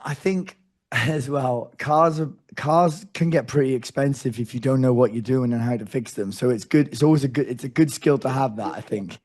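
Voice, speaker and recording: Hoarse male host, casual and rambling, restarts often. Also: medium pitch, moderate pace, a little mellow. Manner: chatty, rambling, full of restarts. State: losing his voice. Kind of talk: casual interview.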